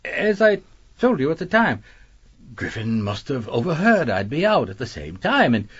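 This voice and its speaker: An actor playing a smug, evasive gentleman suspect in a radio mystery, pompous and hesitant as he comes under pressure. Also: a little high, sing-song, normal speed, slightly rough, highs cut off. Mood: smug, defensive. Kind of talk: radio mystery drama acting.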